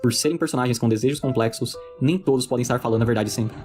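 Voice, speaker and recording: A man's voice: medium pitch, rapid-fire, darkish tone, faint music behind. A man with a Brazilian accent narrating a scripted personal video essay, earnest and enthusiastic. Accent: Brazilian Portuguese.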